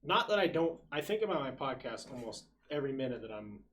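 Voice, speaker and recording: A man's voice: medium pitch, big pitch swings, fast talker, a bit bright. A man in his twenties hosting a casual podcast chat, laid-back and swearing freely, in a small studio room. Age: 20s. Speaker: podcast host.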